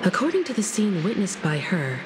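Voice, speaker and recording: A woman's voice: mid-range voice, big pitch swings, a little fast, noisy background, lightly acted. A woman voicing a poised, thoughtful, mature lady leader in an anime game. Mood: pondering. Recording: loud music under the voice.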